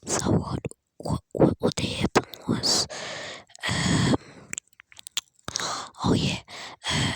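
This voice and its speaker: A person speaking in a breathy, unvoiced whisper, telling an improvised fan story in a rambling, halting way, close to a phone. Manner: rambling, halting, acting out characters' dialogue in passing.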